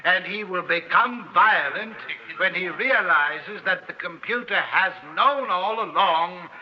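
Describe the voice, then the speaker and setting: A man's voice: high voice, slow. An actor playing an arrogant male scientist who lectures precisely and pompously in an old-time radio drama. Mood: smug, lecturing. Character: arrogant male scientist coolly presenting his findings. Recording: dull, band-limited old radio recording.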